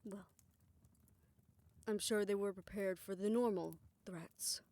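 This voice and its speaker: A woman playing a weary, affectionate older woman in an intimate romantic audio roleplay, speaking softly and reflectively in a measured way. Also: medium pitch, fairly even intonation, no room sound. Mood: apprehensive, thoughtful.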